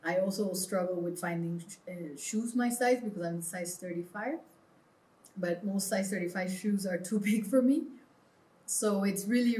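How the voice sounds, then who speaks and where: A woman from Peru answers as a guest in an interview. Her voice sits a little lower than most women's, and she explains things earnestly in a conversational style. The recording is roomy, with clear echo around her words. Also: mellow. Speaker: interview guest, clothing brand founder. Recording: roomy, echoing sound.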